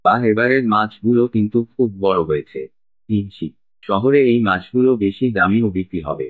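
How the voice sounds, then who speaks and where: Cartoon casting: male actor as a friendly fish buyer, lowish voice, keen and businesslike, tinny audio. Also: lively intonation, moderate pace, no room sound, close to an everyday voice. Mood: eager, businesslike. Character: eager, friendly fish trader customer. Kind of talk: Bengali cartoon story acting.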